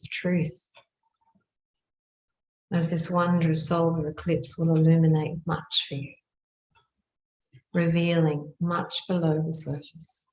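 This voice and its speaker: A woman guiding a meditation in measured, hushed phrases with long pauses between them. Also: deep voice. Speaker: meditation guide. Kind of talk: guided meditation narration. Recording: roomy, echoing sound.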